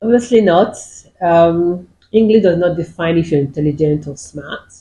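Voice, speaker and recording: A woman's voice: fairly low pitch, steady tone, normal speed, warm tone, highs cut off. Firm female panel guest. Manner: firm, explanatory. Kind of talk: panel discussion. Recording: echoey room.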